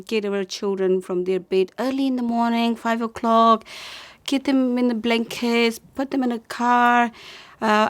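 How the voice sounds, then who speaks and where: A woman's voice: fairly high pitch, no room sound. A middle-aged woman from Pakistan, a preschool teacher, telling her story in an interview in a plain, chatty way. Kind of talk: personal story told to camera.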